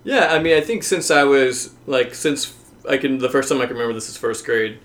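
An American man from the South talking casually and openly about himself, haltingly, with "like" as a constant filler. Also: medium pitch, a bit bright. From southern United States. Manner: halting, heavy use of "like" as filler; open, self-revealing. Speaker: podcast guest.

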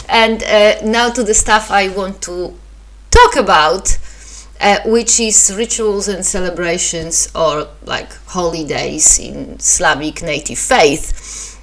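Woman, Polish accent, solo podcast host; slow, halting, self-deprecating talk. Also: on the low side. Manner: hesitant, many fillers and restarts, self-deprecating.

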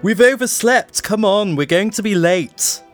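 A man's voice: high-pitched, measured pace, faint music behind, pitched above the performer's own voice. Man putting on a fussy, very posh English gent. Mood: urgent, fussing. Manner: fussy, urgent, slightly camp. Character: very posh young man fussing about being late, slightly camp.

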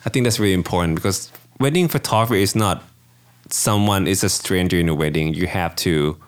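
Man, Thai accent, low-pitched, casual non-native English, interview guest. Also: fairly quick, clean tone. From Thailand. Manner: non-native English with dropped grammar endings, laughs easily. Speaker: wedding photographer.

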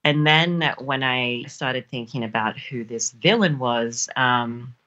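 A woman with an Australian accent and a low, husky voice, chatting casually as an author being interviewed, in a self-deprecating, giggly way. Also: slow, bright, forceful tone, dull audio. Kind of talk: casual chat.

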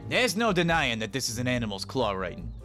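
A man playing a righteous chimp police detective in a comic noir audio drama, with dramatic, forceful delivery. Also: medium pitch, very animated, brisk, soft background music. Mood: probing. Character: hard-boiled animal police detective, a chimp, righteous and theatrical.

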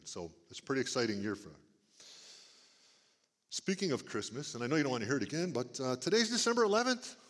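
A middle-aged man with a big booming voice, speaking to a church congregation in a folksy preaching manner. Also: a bit bright. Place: church sanctuary. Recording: long reverb of a church hall. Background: church hall.

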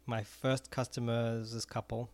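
A man's voice: mid-range voice, little pitch movement, a little slow, darkish tone. A middle-aged man from Israel, an ice cream vendor and former music teacher, talking earnestly in an interview and often repeating words as he searches for phrasing. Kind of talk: casual interview talk.

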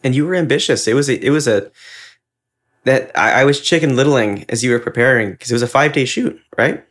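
A man's voice: medium pitch, a little fast, fairly bright tone. A man co-hosting a podcast, talking in a casual, reflective way with effusive, wordy and earnest delivery. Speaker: podcast co-host and ad maker.

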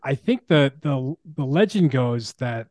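A man's voice: medium pitch, animated, a little mellow, no room sound. Casual male podcast host, dry close sound. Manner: halting, repeats words.

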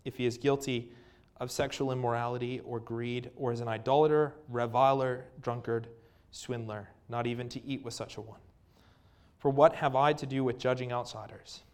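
Man, Canadian accent, preacher, earnest, halting with fillers, mid-pitched voice. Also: clean tone. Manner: earnest, with frequent fillers and pauses. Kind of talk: sermon with scripture reading and prayer.

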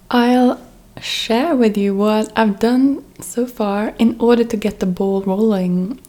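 Mellow-voiced woman from Norway, podcasting. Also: mid-range voice. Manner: measured, earnest, listing items one by one. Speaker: podcast host; freelance cellist. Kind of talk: reflective solo podcast monologue.

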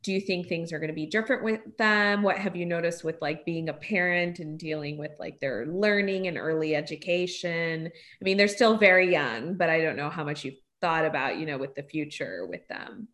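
A woman's voice: deep voice, moderate pace, hardly any pauses. A woman interviewing in a casual, chatty way, telling stories with lots of fillers. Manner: chatty, animated storytelling with fillers.

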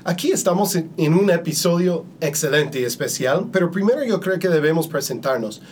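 A man hosting a conversational podcast interview, speaking haltingly and often repeating his words. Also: fairly high pitch, expressive, a little hiss or hum.

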